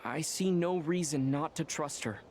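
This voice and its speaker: An actor playing an earnest, heroic young traveler in a video game, sincere and thoughtful in manner. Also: male voice, a little high, moderate pace, a little hiss or hum, close to an everyday voice.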